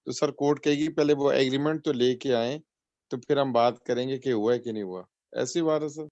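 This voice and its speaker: Pakistani guy student talking thru an example, dull lofi audio, no echo, mid pitch. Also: a little mellow. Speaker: law student. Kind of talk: working through an example aloud in class. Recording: dull, lo-fi online call sound.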